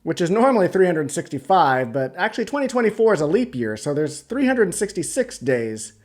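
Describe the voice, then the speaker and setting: A man presenting a step-by-step software tutorial, explaining things methodically as he goes. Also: high voice, sing-song, brisk. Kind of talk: step-by-step screen tutorial narration. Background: background music.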